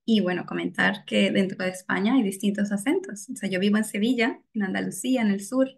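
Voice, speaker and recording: A woman's voice: mid-range voice, a little fast, gravelly, husky. Venezuelan woman, reflective interview guest. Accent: Venezuelan Spanish. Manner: reflective, frequent soft laughs, fillers like "bueno". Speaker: guest, data scientist. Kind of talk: casual interview.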